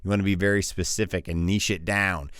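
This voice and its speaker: A man's voice: deep voice, flat delivery, fairly quick, a bit bright, no echo. A man casually walking viewers through setting up his voiceover freelance profile, rambling and interrupting himself with fillers, close to a studio mic. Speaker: voiceover artist giving a tutorial. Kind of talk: casual screen-share tutorial.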